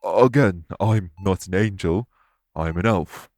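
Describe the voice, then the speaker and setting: A man voicing a calm, courteous winged elf in an audio roleplay, speaking formally and patiently to reassure the listener. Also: low voice, slow pace, no room sound.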